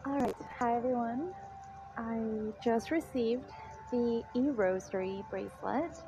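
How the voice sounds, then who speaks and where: A woman's voice: a little high, slow, music in the background. A woman of twenty-six from Mexico, talking casually and chattily with lots of "like", as in an audio diary. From Mexico, moved to Texas at fourteen. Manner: chatty, frequent "like" as a filler. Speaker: guest product tester. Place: apartment. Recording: dull, noisy home self-recording.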